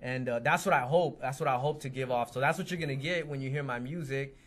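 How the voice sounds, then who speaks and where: A man's voice: medium pitch, quick pace. A man whose first language is Spanish, a rapper guest on a podcast, talking earnestly and sincerely with many restarts. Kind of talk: casual interview chat.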